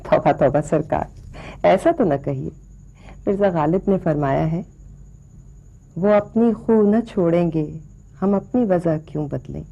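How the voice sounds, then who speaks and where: An actress playing a courtly middle-aged courtesan in a screen drama, deferential and slyly flattering in ornate, elaborately polite speech. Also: female voice, fairly low pitch, unhurried, gravelly, pauses often, breathy edge, heavily acted. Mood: coaxing deference. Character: courtly, flattering, sly courtesan mother quoting poetry. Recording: dull, lo-fi television film sound.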